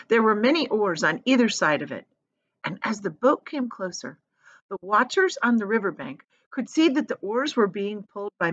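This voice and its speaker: A woman's voice: mid-range voice, a bit bright, no echo, muffled recording. A woman reading a children's story aloud expressively, with emphatic delivery that lingers on descriptive words. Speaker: storybook reader.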